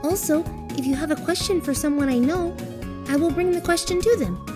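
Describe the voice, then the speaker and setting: A woman's voice: high-pitched, fairly quick, over music, close to an everyday voice. A woman voicing a kind human child who narrates politely and sincerely in a cartoon fandub. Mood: earnest, friendly. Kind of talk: first-person fandub narration.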